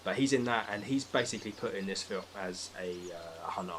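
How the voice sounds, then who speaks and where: A man's voice: lowish voice, fast, a little hiss or hum. A British man in a casual, opinionated film discussion, talking emphatically with exasperated, sweary rants. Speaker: podcast speaker.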